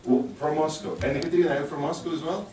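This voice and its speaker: Halting male conference speaker in echoey hall. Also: fairly high pitch, fairly even intonation, dark tone, distant mic. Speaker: speaker addressing a youth conference. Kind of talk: motivational speech with audience questions. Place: large echoing hall at a conference ceremony. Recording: echoey hall from afar, background noise.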